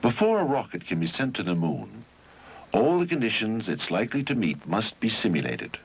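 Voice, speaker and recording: A man reading scripted educational film narration in a measured, didactic way with deliberate phrasing, on a 1971 film soundtrack. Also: on the low side, sing-song, creaky, highs cut off, slight background noise.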